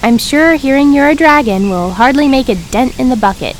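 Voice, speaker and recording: A woman's voice: high-pitched, brisk, slight background noise, close to an everyday voice, low-quality recording. A woman playing a weary, sardonic young apprentice mage with a dry, impatient manner in an audio drama. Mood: pointed, sardonic. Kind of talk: audio drama character dialogue.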